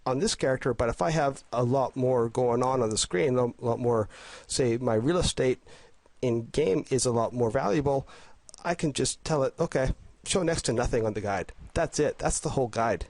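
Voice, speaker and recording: A man's voice: mid-range voice, a little mellow, no room sound. A man with a cold, slightly nasal and congested, narrating a casual game guide demo with lots of fillers and restarts. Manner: rambling, many fillers and restarts.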